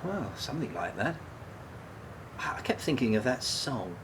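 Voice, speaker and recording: Male actor playing a remorseful man, radio drama, halting speech, self-blaming, widely swinging pitch, low background rumble. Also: sing-song, close to an everyday voice. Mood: morose, weary. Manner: hesitant, halting, repeating words. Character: guilt-ridden, self-blaming man, an ex-prisoner, subdued and troubled. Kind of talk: radio play dialogue, naturalistic.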